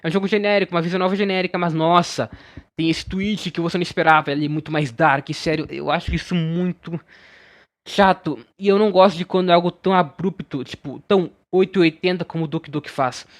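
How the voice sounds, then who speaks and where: A man's voice: a little high, fairly even intonation, quick pace. A young man with a Brazilian accent giving casual, informal and blunt opinion commentary as a video game reviewer.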